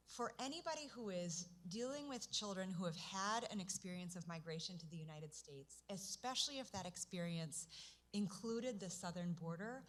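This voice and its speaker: A soft-spoken woman speaks at a conference as a pediatrician. Her delivery is earnest and measured, her pitch mid-range. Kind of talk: conference presentation.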